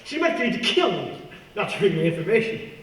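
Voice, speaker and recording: A man acts a villainous professor who passes as a respectable gentleman. His high voice swings widely with courteous, theatrical menace. It is a radio play heard in a very echoey space. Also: very animated, fast. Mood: silkily menacing. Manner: genteel, theatrical, mock-regretful. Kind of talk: stage-like radio play acting. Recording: distant, very echoey, noisy room.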